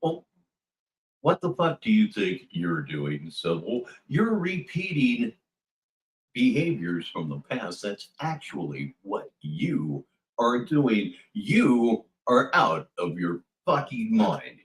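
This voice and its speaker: A man giving mocking commentary like a showman, theatrical, sarcastic and deliberate. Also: on the low side, sing-song, low-quality recording.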